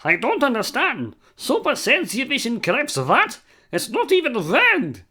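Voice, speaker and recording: A voice actor playing a pompous scolding cartoon doctor. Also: male voice, extremely high pitch, huge pitch swings, unhurried, sharp tone, faint music behind, heavily acted. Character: fussy exasperated cartoon doctor. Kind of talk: fan dub of cartoon skit.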